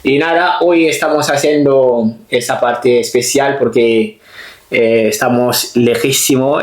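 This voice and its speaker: A podcast host from Guinea interviewing a guest, speaking hesitantly with frequent repeated words. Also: medium pitch. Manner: hesitant, repeats words, many thanks and acknowledgments. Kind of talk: casual interview hosting. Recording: echoey room.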